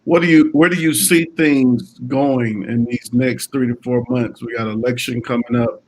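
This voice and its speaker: Mid-pitched male interview host. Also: fairly even intonation, moderate pace, darkish tone, poor audio. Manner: thoughtful, many ums and short affirmations. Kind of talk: casual interview conversation.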